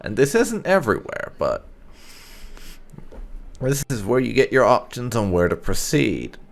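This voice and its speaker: A man's voice: medium pitch, huge pitch swings, unhurried, creaky. A man giving casual, conversational gameplay commentary as a video host, with some light roleplay.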